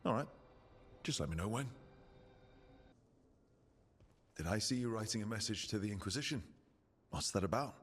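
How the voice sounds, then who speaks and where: A man's voice: lowish voice, expressive, quick pace, breathy edge. A man playing an easygoing, inquisitive adventurer in a video game, conversational and dryly playful. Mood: friendly. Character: easygoing, curious male adventurer from an assassin guild. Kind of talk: video game dialogue acting. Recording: music under the dialogue. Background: game music.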